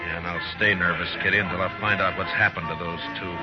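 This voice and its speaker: An American man playing a weary, duty-bound marshal in an old radio western, speaking tersely and gravely. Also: deep voice, steady tone, fast, lightly acted. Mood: grim, resigned. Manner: terse, grave, matter-of-fact. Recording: muffled vintage radio broadcast with music.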